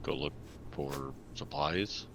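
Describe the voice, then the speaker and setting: A man's voice: very deep voice, takes it slowly, fairly bright tone. A man casually putting questions and suggestions as a player at a tabletop game. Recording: faint background noise.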